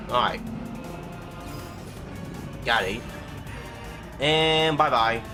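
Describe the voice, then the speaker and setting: A man's voice: mid-range voice, animated, drawn-out words, over music. A man livestreaming a game with casual commentary, muttering short exclamations to himself and chatting to viewers. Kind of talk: casual live gameplay commentary.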